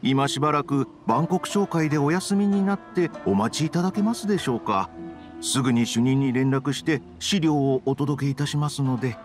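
A man's voice: medium pitch, moderate pace, faint music behind, lightly acted. A man playing a nervous, deferential publishing editor in an anime game, speaking formally and apologetically. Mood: polite, apologetic.

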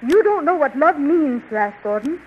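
On a muffled, hissy old radio recording, a very high-pitched young heroine. She is proud, jealous and full of stinging sarcasm, in theatrical thirties serial style. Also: lively intonation, normal speed. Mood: bitter, jealous. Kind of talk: 1930s radio serial acting.

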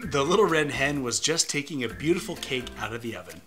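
A man's voice: mid-range voice, very animated, fairly quick. A man in his thirties, reading a children's story as a co-host with comic banter. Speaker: co-host and story reader, comedian. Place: small room, indoors, seated on a sofa.

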